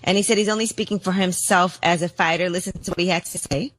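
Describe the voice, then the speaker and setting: A woman co-hosting a morning radio show, reading entertainment news and chatting in a brisk, matter-of-fact way. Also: fairly low pitch, steady tone, fairly bright tone, rough recording. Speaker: radio co-host.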